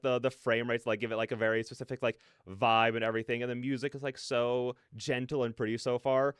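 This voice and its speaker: A man hosting a reaction video, giving casual, excited commentary in a rambling, repetitive way with stammered emphasis. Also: medium pitch, smooth, no echo, studio quality.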